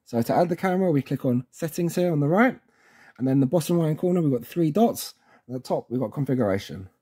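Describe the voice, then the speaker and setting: Mellow, higher-pitched man giving a tutorial. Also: expressive, fast, breathy edge. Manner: informal, chatty, frequently checks in with "okay". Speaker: tutorial presenter. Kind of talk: casual instructional walkthrough.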